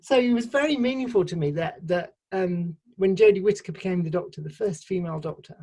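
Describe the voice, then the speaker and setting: Podcast guest, woman from Sheffield, low-ish husky voice, thoughtful and chatty. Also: fast talker, warm tone. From Sheffield, England. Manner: thoughtful, explains ideas at length with frequent restarts. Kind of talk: casual conversational interview.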